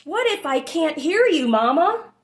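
An anxious little elephant calf from a picture book, voiced by a woman reading aloud. The voice is very high and swoops around. Its questions are plaintive and pleading, full of longing for its mother. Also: big pitch swings, unhurried, roomy sound. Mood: pleading, worried. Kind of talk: expressive children's storybook read-aloud.

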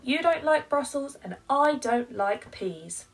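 A woman voicing a stubborn, defiant little girl from a children's picture book, with a deliberate, emphatic way of talking. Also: high voice, animated, far from the mic, soft background music, pitched above the performer's own voice, exaggerated voice. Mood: knowing. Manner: slow, loud, deliberate, emphatic.

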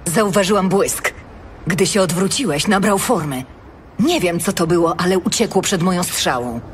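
An actress playing a proud, vigilant elven ranger captain in an audiobook drama, terse and resolute in manner. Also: female voice, on the low side, expressive, normal speed, a little hiss or hum, natural voice. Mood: alert, terse.

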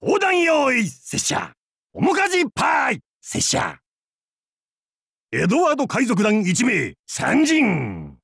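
An actor playing a boisterous, crude and goofy male pirate captain in a game, with a gravelly, husky voice and a hammy, theatrical, jokey way of talking. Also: very high-pitched, wildly swooping pitch, takes it slowly, a bit bright, no room sound, studio quality, exaggerated voice. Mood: hammy battle cries. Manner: hammy, theatrical, jokey otaku-style speech. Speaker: game character voice. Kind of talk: voiced game character lines.

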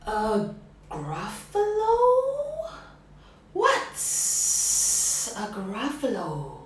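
A woman voicing a sly male snake in a slow, low, whispery manner, in an echoey room from a distant mic. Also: huge pitch swings, full character voice. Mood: hissing.

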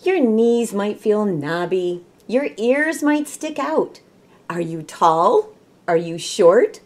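Casting: middle-aged woman, American Midwestern accent, storybook reader with unhurried pace and animated intonation. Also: mid-range voice. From Michigan, United States. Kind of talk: children's read-aloud storytelling. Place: small room at home, indoors.

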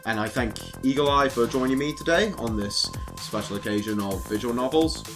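A man's voice: medium pitch, fairly quick, music in the background. A young adult man chatting casually as the host of a video show. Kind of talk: casual chat.

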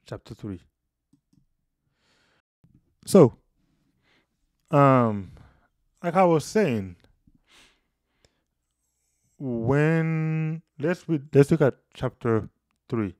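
Man, 30s, Bible teacher, casual explainer, mid pitch, dry booth sound. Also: extremely slow, pauses often, no room sound. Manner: explanatory, animated hand gestures. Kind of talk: casual Bible study video. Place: small room indoors. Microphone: studio mic on boom, headphones.

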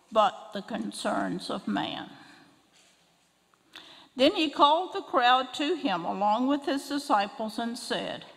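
An older woman reading scripture aloud at a church lectern, slow and careful. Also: a little high, gravelly, a bit bright. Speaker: scripture reader. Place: large church sanctuary, at a lectern. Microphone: lectern microphone. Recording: long reverb of a large church hall.

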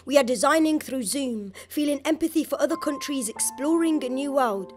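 A woman's voice: high-pitched, expressive, moderate pace, soft background music. A woman from Birmingham, a spoken word artist, reciting a poem in a rhythmic, declamatory voice-over. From Birmingham, England.

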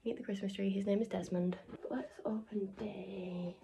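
A young woman talks into her phone in a loose, rambling vlog chat, her voice carrying a gravelly fry. She often drops to a near-whisper, and a clear hiss of noise sits under the phone recording. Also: mid-range voice, fairly even intonation, normal speed, dark tone. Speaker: vlogger. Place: home living room, indoors. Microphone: phone camera held close.

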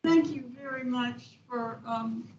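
Soft-spoken woman, halting, distant echoey audio. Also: fairly high pitch, fairly even intonation, highs cut off, a little hiss or hum. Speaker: tenant giving public comment. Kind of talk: public comment at a meeting.

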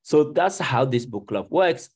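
A man's voice: medium pitch, animated, measured pace, breathy edge. A Nigerian man in his thirties leading a book club on a video call, talking casually with lots of ums and you knows. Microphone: video call webcam.

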